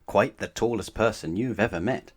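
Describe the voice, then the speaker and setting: A man narrating a children's bedtime storybook aloud in an expressive read-aloud style. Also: fairly low pitch, moderate pace, husky.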